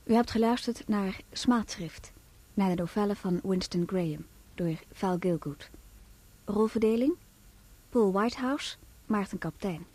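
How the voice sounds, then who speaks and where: Female radio announcer for drama credits: unhurried, formal, dark-toned, very dry sound. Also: medium pitch, no room sound. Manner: measured, formal list reading. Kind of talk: scripted reading of closing cast credits.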